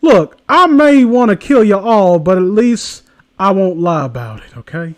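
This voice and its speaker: Earnest murderous teen girl, read by a man, high pitch, solemn declarations, dry sound. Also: animated, moderate pace, dry recording. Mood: solemn, menacing. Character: earnest teenage girl serial killer seeking her brother.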